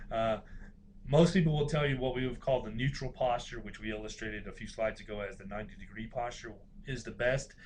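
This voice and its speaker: A man's voice: mid-range voice, slight background noise. A man giving an informal university lecture, explaining things in a hesitant, hedging way.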